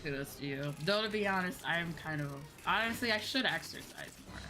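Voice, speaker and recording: A woman's voice: lowish voice, lively intonation, a little fast, pushed and bright. A woman livestreaming a game, chatting casually and thinking aloud in a rambling, informal way. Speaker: livestreamer playing an online game. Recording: music behind the voice. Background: game music.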